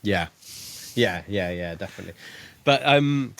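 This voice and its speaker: A man's voice: low-pitched, expressive, measured pace, a bit bright. A man co-hosting a podcast, talking about a book in a hesitant way, with many "um"s and "yeah"s. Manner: hesitant, lots of "um" and "yeah", laughs easily. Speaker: podcast co-host. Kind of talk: casual book discussion.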